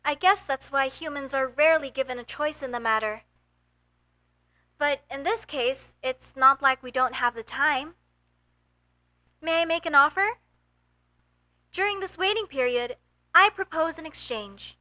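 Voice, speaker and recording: Woman acting high, bright, flustered young elf mage girl. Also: a little slow, pauses often. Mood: resigned, negotiating. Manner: formal, slightly stilted phrasing with nervous hesitations. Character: young female elf mage student, flustered, earnest, proud. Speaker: voice actor in audio roleplay. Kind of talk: comedic audio roleplay acting.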